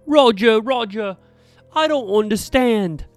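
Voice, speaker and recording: Guy doing a naive young actor kid, high loud whiny pleading, super eager, comic story w music under it. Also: takes it slowly, no room sound. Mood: anxious pleading. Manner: pleading, wide-eyed, excitable.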